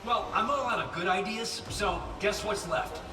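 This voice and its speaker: A man's voice: high-pitched, moderate pace, close to an everyday voice. A man playing a resolute, matter-of-fact engineer in a video game, terse and stubborn under pressure. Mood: determined. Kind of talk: dramatic video game acting. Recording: roomy, distant voice with music underneath.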